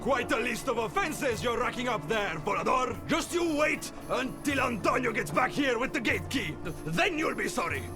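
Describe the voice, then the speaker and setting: Game henchman: officious city guard, very high voice, animated, scolding. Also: moderate pace, hardly any pauses, loud music behind the voice. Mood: gloating, scolding. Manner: scolding, self-important. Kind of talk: cartoonish video game acting.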